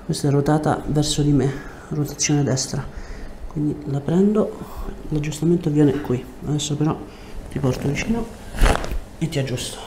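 A man in his thirties with a soft, mellow tone guides a patient through a treatment. He speaks in short, calm instructions, with clear background noise around him. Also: fairly high pitch, moderate pace, reverberant. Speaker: chiropractor. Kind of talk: hands-on treatment talk with a patient. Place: indoor chiropractic treatment room.

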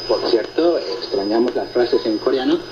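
Lively male radio host on noisy shortwave. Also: medium pitch, normal speed. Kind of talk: reading and answering listener reports, conversational radio presenting. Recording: noisy shortwave radio reception, muffled, echoey. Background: loud static noise.

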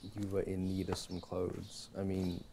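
Mellow, low-voiced young man, boyfriend roleplay. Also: completely flat delivery, measured pace. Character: easygoing, affectionate young boyfriend on a shopping date. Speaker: ASMR boyfriend roleplay performer. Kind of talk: ASMR boyfriend audio roleplay, casual one-sided chat. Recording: clearly audible background noise.

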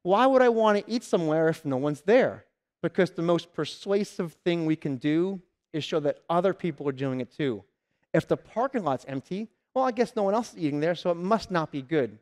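A fairly high-voiced man in his thirties delivers a keynote from a large conference stage. He tells everyday shopping stories and slips into quick voices for the customer and the salesperson. He speaks into a headset mic. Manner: lively storytelling, acting out customers and salespeople.

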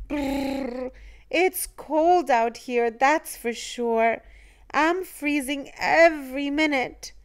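A woman voicing a shivering, cheerful, childlike male snowman in a storybook, exclaiming with drawn-out, dramatic words. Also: sits high, pitched above the performer's own voice. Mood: shivering, complaining.

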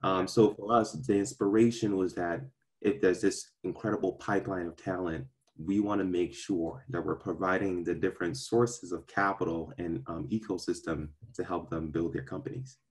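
A young man from Ghana raised in Brooklyn, answering interview questions on a video call from a park, earnest and measured with frequent ums and restarts. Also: sits low, steady tone. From born in Ghana, raised in Brooklyn. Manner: earnest, measured, many ums and repeated starts. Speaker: venture capital partner, guest. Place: outdoors in a park. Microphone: video call webcam.

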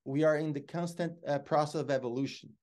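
A middle-aged Brazilian man with Portuguese as his first language and a fairly high voice, telling lively stories as an interview guest. He is animated and expressive, speaking over a video call from a home office. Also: fast talker. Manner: expressive, gestures a lot, lively storyteller.

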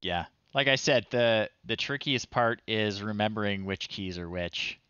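Low-key, slow-talking man. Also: bright, forceful tone, smooth, no room sound, dull audio. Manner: low-key, muttering to himself between remarks. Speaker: livestream host playing retro games. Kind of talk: casual live gaming commentary. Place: small room at home, indoors.

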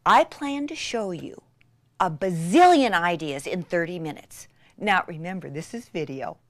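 A middle-aged woman presenting a chatty craft tutorial in an excitable, gushing manner with dramatic emphasis. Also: on the low side, measured pace, no echo.

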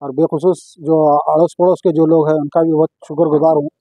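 A middle-aged man speaks in a fairly high, very even voice. His delivery is formal and halting, and he tends to repeat himself. The sound is a little dull, as from a local news report. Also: husky, no room sound. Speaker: organiser of a local religious procession. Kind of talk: on-camera statement to local news. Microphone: handheld news microphones.

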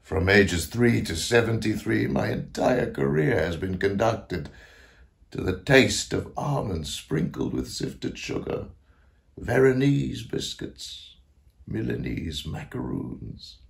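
An actor playing an aged, grandiose Italian composer near death, confiding in a theatrical stage monologue with a creaky voice and self-mocking manner. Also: male voice, fairly low pitch, expressive, slow pace, heavily acted. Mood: confiding, wry. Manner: theatrical, declamatory, swinging to hushed asides.

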